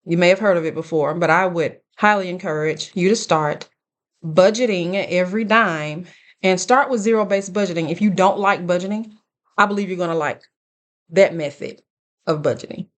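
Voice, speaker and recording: Woman late 30s, kinda low voice, casual advice vlog talk, earnest and deliberate, checks in w listeners. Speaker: YouTube host giving life advice.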